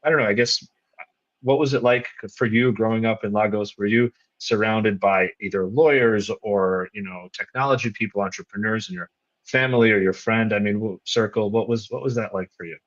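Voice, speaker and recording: A man hosting a podcast, asking rambling, curious questions in a low voice. His voice comes through a dull, lo-fi remote call line. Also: a little fast, fairly bright tone. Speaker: podcast host. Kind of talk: interview questions, casual.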